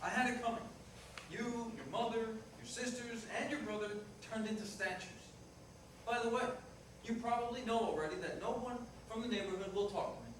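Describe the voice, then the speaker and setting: A man's voice: very high-pitched, moderate pace, fairly bright tone. A man playing a frank, remorseful working-class ex-fiancé in a staged reading, speaking in a plain, matter-of-fact way. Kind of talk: staged reader's theater dialogue. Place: indoor performance room, distant microphone. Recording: echoey noisy room recorded from far off.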